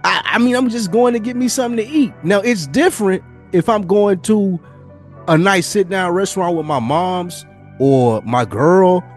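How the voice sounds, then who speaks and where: A man's voice: high-pitched, moderate pace, soft background music. A man co-hosting a podcast in casual, slangy talk, repeating phrases to make his point. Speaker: podcast host. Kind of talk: casual chat.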